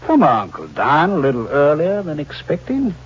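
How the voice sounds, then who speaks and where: Sly, swooping old-radio crook. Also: male voice, a little high, huge pitch swings, breathy edge, heavily acted. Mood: coldly menacing. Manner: needling, falsely friendly, drawn-out taunts. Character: sly, fat scheming crook plotting his uncle's murder. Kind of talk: 1940s radio acting. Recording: dull, hissy old radio recording.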